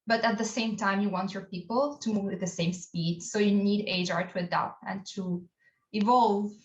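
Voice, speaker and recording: A woman's voice: medium pitch, fairly even intonation, normal speed. This woman in her thirties is from Albania and answers in a friendly, talkative way. Her voice comes through a roomy space. The sound is slightly dull and muffled, as on a remote call. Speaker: guest, people analytics analyst. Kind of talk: casual interview answers.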